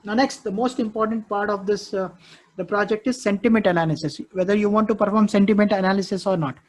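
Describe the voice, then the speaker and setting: A man's voice: high voice, steady tone, fast. A male instructor with an Indian English accent, walking students through a live technical class, often repeating himself and checking "okay, understood?".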